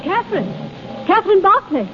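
A woman's voice: very high-pitched, big pitch swings, moderate pace. An actress playing a blunt, protective, scolding woman friend in a 1930s radio drama. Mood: exasperated. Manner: sharp, exasperated. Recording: muffled, noisy 1930s radio recording.

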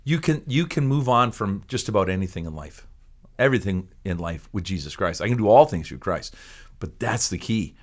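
Low-voiced American male podcast host. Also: lively intonation, brisk. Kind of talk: casual ministry talk show.

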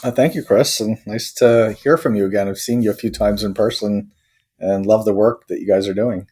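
A man from Croatia, a tech company founder, answering interview questions thoughtfully with many pauses and restarts. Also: lowish voice, fairly even intonation, darkish tone, breathy edge. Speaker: company co-founder and technology chief.

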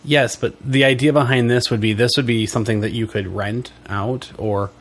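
A man's voice: medium pitch, steady tone, normal speed, husky. Podcast co-host, male, wheezy with allergies, loose joking delivery with frequent chuckles. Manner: joking, chuckles often, rambling explanations. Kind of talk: casual podcast chat.

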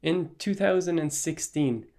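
An Irish man hosting a solo podcast, explaining his views in a measured, even-tempered way. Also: fairly high pitch. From Ireland. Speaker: podcast host.

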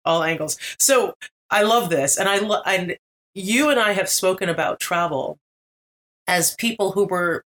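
A podcast host asking casual, encouraging questions and giving quick affirmations. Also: medium pitch, a bit bright. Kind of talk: casual interview.

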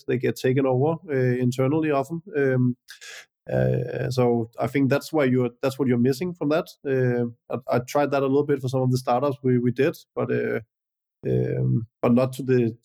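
A man's voice: medium pitch, flat delivery, creaky, warm tone. Danish man answering, hesitant. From Denmark. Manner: measured, many "uh" and "like" hesitations. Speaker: guest entrepreneur. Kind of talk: casual interview answers.

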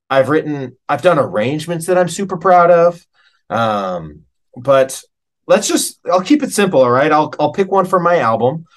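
A middle-aged American man speaks as a musician being interviewed. His voice sits at an average pitch, and he talks casually with plenty of slang. He tells his stories in an animated, conversational way.

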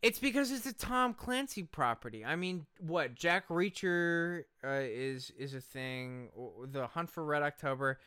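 A man with a slightly high, very lively voice rants as a podcast co-host. He is emphatic and profane, casually argumentative, with crisp, clear delivery. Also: unhurried, hardly any pauses, no room sound, studio quality. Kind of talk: casual chat, opinionated ranting.

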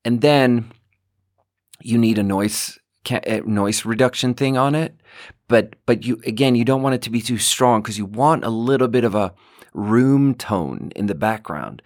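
A man with a low voice hosts a casual solo podcast and rambles along, often stopping to correct himself and chuckling between thoughts. He speaks close to a mic in a small home studio. The recording is very dry, with no room echo. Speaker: podcast host.

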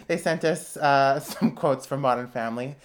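Young female podcast co-host, very low voice, giggly, hesitant. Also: normal speed, a little hiss or hum. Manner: laughs often, hesitant with fillers and restarts. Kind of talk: casual chat.

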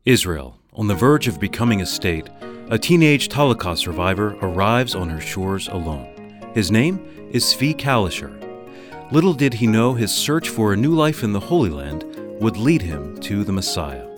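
Animated male announcer over music. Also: lowish voice, moderate pace. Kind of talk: dramatic scripted introduction. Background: music behind the voice.

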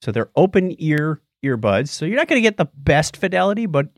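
A man hosting a casual tech podcast, telling stories in an animated way and acting out reactions as he goes. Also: a little high, fairly quick, no echo, studio quality. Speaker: podcast host.

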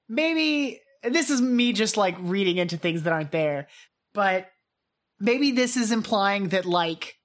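A woman's voice: medium pitch, animated, a little slow. A woman co-hosting a podcast in casual chat, agreeable and thinking aloud in short phrases. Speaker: podcast co-host.

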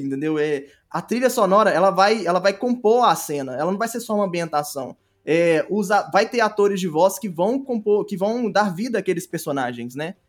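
A Brazilian man talking casually as an interview guest, explaining things step by step with examples. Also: sits high. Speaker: podcast guest, audio drama creator.

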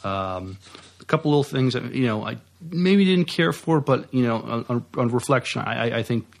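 A man with an American accent co-hosting a podcast, talking casually in a halting, hesitant way. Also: medium pitch, expressive, vocal fry. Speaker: podcast co-host. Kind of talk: casual news chat.

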